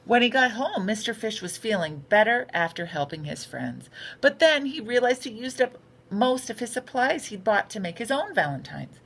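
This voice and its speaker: A woman reading a picture book aloud for children in an expressive, unhurried storytelling manner. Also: a little high, vocal fry. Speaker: storytime reader. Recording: noticeable background noise.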